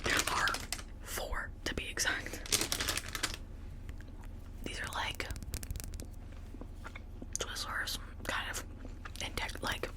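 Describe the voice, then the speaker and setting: A woman speaking in a breathy, unvoiced whisper, rambling casually and confidingly in short, halting phrases as an ASMR host.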